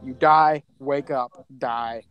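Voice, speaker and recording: A man's voice: mid-range voice, steady tone, slow, clear, resonant tone, muffled recording. A man chatting casually in a group podcast, speaking in an effusive, rambling, informal way.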